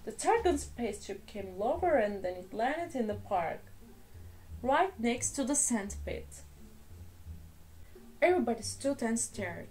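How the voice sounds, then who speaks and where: A woman reading a children's story aloud in a deliberate, expressive storytelling manner. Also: fairly high pitch, poor audio. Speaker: children's storybook reader, English teacher. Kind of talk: slow expressive read-aloud for young children.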